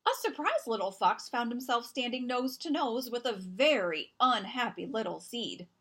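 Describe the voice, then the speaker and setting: A woman reading a children's picture book aloud as storyteller, with expressive, deliberate narration and dramatic emphasis. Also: a little high, slightly rough.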